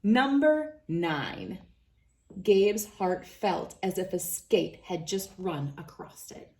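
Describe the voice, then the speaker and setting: A woman's voice: lowish voice, fairly even intonation, slow, warm tone. A woman reading a children's storybook aloud as narrator. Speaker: children's book reader.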